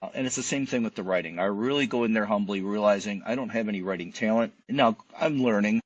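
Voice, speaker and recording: Husky, lowish-voiced man, dry sound. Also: quick pace, no room sound, lo-fi sound. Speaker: podcast guest, writer. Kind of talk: conversational interview testimony.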